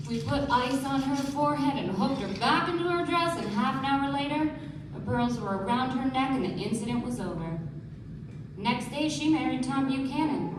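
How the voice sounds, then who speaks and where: A woman's voice: high voice, noisy background. A woman acting a poised young socialite who narrates dramatically in a live radio play. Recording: echoey stage, distant mic, music behind.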